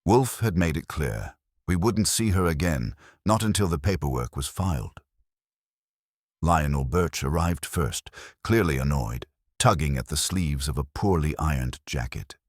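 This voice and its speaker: Very deep-voiced man narrating detective fiction. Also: animated, a little slow, dry recording, studio quality. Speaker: audiobook narrator. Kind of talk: scripted first-person detective story narration.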